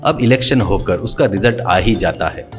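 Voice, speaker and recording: A man's voice: on the low side, moderate pace. A man reading a scripted story as a storyteller. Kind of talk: scripted story narration with acted dialogue. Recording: music behind the voice. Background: music.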